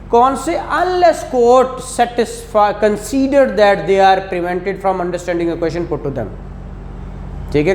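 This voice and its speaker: A man's voice: high-pitched, a little mellow. A man with a Pakistani accent giving a classroom law lecture in a didactic way, asking rhetorical questions and repeating key phrases. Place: indoor classroom. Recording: echoey classroom with faint noise.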